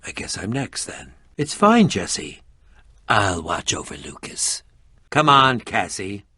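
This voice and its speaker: A man's voice: on the low side, big pitch swings, slow pace, sharp tone, husky, no room sound, clean studio sound, exaggerated voice. A man voicing a pompous, irritable, middle-aged eccentric adventurer and potion maker in a video game, with a dramatic, sardonic, fussy delivery. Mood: wary, uneasy. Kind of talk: animated video game voice acting.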